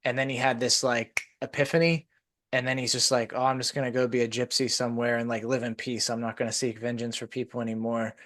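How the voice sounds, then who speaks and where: A man's voice: medium pitch, flat delivery, quick pace, a bit bright. A man hosting a podcast. He chats casually and rambles through long stories, with many "like" fillers. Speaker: podcast host. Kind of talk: casual conversation.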